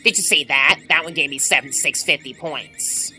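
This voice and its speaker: Bright, forceful gamer commentating over music. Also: mid-range voice, normal speed. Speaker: video game commentator. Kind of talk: casual gameplay commentary. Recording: game music behind the voice. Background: video game music.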